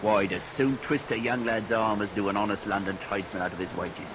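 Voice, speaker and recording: An actor playing a folksy, gossipy storyteller who narrates a vintage radio play in colloquial speech, relishing the grisly tale. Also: male voice, lowish voice, steady tone, fast talker, noisy background, natural voice. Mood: wry relish. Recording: vintage radio recording with rain.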